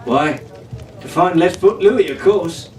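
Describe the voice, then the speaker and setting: A man's voice: high-pitched, expressive, slow, a little mellow. A man voicing a cocky, cheerful teenage pirate captain with a breezy, swaggering, commanding manner. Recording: roomy, distant, noisy home recording.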